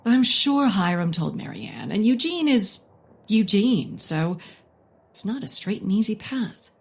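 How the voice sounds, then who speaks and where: An actress playing an intense woman botanist confessing a forbidden love of plants in a comedic audio drama, theatrical, with dramatic pauses and hushed emphasis. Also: mid-range voice, very animated, slightly rough, no echo, full character voice. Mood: solemn, weary. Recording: prominent music under the voice.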